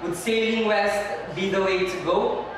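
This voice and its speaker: Young man acting slow-spoken sailor in echoey hall. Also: high voice, fairly even intonation. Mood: earnest. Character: determined male sailor Christopher Columbus, dreaming of riches. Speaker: student performer in a readers' theater. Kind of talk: readers' theater recital. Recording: echoey hall from far off, noisy.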